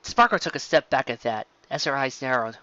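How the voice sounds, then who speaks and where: A woman reading a story aloud as its narrator, in an expressive scripted style. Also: extremely low pitch, a little fast, dry recording, muffled recording.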